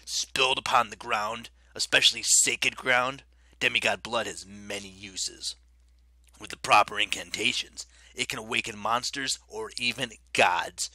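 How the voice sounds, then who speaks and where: A man voicing a mocking ancient werewolf king, speaking low and quiet with silky menace. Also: measured pace, no room sound, exaggerated voice, low-quality recording.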